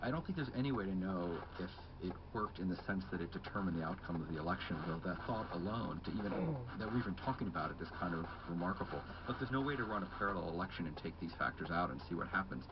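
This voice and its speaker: A very deep-voiced journalist gives a measured, careful explanation in a radio interview. The audio is dull and muffled with a clear layer of noise underneath. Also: male voice, lively intonation. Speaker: journalist guest.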